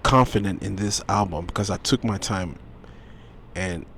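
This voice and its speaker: A man with a lowish voice, talking casually as a guest musician in an interview. He is animated and emphatic and often repeats phrases. A faint hiss of noise sits behind him. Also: moderate pace, gravelly.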